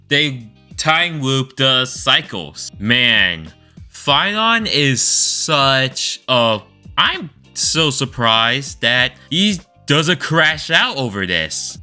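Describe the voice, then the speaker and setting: A man giving informal, enthusiastic opinion commentary as a video creator, expressive and stretching words for emphasis. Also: medium pitch, clean tone. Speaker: video creator ranking game stories. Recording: voice over background music.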